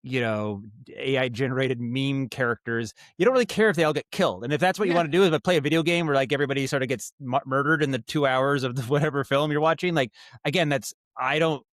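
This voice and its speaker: A man's voice: medium pitch, fast, hardly any pauses, fairly bright tone, no room sound. Man talking casually in dry close sound. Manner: rambling and thoughtful, circles back and rephrases. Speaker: podcast guest, writer and audio drama director. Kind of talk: casual interview talk.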